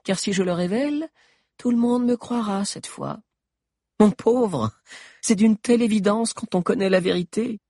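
A man voicing a taunting, scheming female witch queen in a high, distant voice. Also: normal speed, warm tone, no echo, studio quality. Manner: taunting, triumphant.

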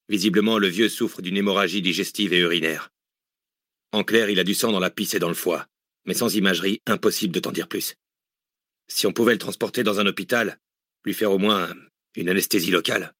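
A mercenary field medic, read by a man, delivers his verdict flatly and clinically. The husky, lowish voice stays matter-of-fact. Dry, close recording. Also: a little fast, dry recording.

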